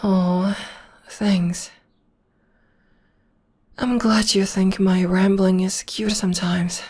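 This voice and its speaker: A woman playing a breathy, tender witch girlfriend. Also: medium pitch, level tone, slow. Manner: soft and soothing, often whispering. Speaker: voice actor. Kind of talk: ASMR girlfriend roleplay. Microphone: close-up ASMR microphone.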